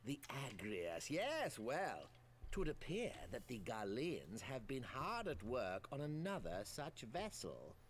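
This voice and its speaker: Slow, sly, swooping merchant lord. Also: male voice, medium pitch, huge pitch swings, a little hiss or hum. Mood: coy, scheming. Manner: deliberate, drawling, sly rhetorical phrasing. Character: shrewd, scheming merchant lord, male, smug and theatrical. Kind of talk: fantasy game acting in archaic formal English.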